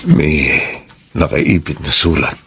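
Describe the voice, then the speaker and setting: An actor playing a heartbroken, regretful middle-aged husband in a Filipino radio drama, speaking in an anguished, dramatic way. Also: male voice, very deep voice, steady tone, gravelly, a bit bright, a little hiss or hum, full character voice. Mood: anxious searching. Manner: anguished, slow dramatic delivery.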